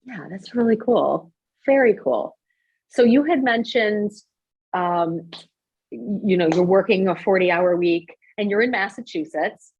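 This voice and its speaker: A woman's voice: medium pitch, lively intonation, a little slow, darkish tone, lo-fi sound, a little hiss or hum. A woman hosting a casual, chatty interview. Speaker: interview show host.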